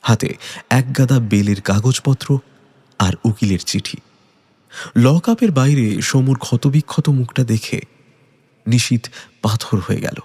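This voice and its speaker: A man's voice: medium pitch, unhurried, pauses often, a little mellow, breathy edge. A man giving dramatic scripted narration of a detective story.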